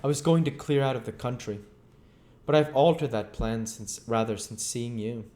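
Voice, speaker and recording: A man's voice: mid-range voice, steady tone, normal speed, a little mellow, close to an everyday voice. A man voicing an arrogant, bitter, volatile scientist on the run in a storybook, declaiming emphatically and indignantly. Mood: scheming, confiding.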